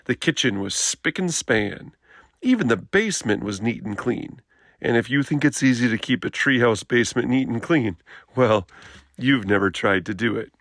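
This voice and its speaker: A man reading a children's picture book aloud in an expressive storytelling style. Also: medium pitch, creaky, dry recording, clean studio sound.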